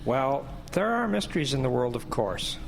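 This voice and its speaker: A man playing a minister in an audio drama, deliberate and wry, with a preacherly, gently provoking way of talking. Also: medium pitch, sing-song, creaky, slight background noise. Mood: solemn. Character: measured, gently provoking male minister, solemnly philosophical. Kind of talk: scripted audio drama acting.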